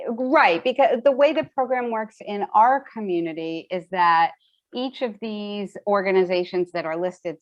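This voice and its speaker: Slightly muffled, lo-fi meeting audio of a woman with a mid-pitched voice. She answers questions as a presenter, explaining in a hesitant, halting manner. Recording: dull, lo-fi online meeting audio.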